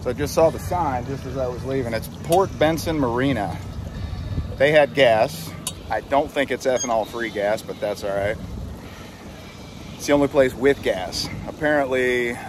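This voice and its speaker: Middle-aged American man over loud boat noise. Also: mid-range voice, moderate pace. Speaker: solo boating vlogger. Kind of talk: casual vlog narration to camera. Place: outdoors on a small open boat on a river.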